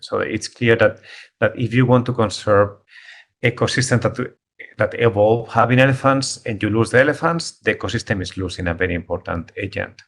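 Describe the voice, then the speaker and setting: A man's voice: on the low side, a bit bright. A middle-aged man from Spain with a Spanish accent, giving a talk over a video call, often repeating words as he searches for how to put things. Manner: halting, repeats words while searching for phrasing. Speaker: guest speaker, conservation biologist. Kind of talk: online talk.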